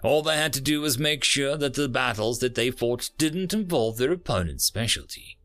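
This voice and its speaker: A man voicing a calm, wry male furry alien who explains things in a measured, dry way. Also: medium pitch, faint music behind, lightly acted.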